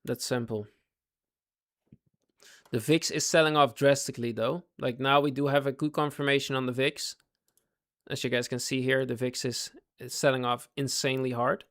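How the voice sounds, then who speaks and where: A man's voice: mid-range voice, steady tone, moderate pace, a bit bright. A man doing casual live commentary on his trades for a chat audience. He is losing his voice, repeats himself and keeps asking viewers if they follow. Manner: talks in fits and starts, repeats himself, asks chat to follow. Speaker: day trading livestreamer.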